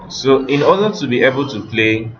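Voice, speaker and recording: A man giving an informal, step-by-step software tutorial as an instructor, repeating phrases as he explains. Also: mid-range voice, expressive, moderate pace, background noise. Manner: repetitive step-by-step explaining, checking understanding. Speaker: web development instructor. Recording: roomy, dull audio with music behind.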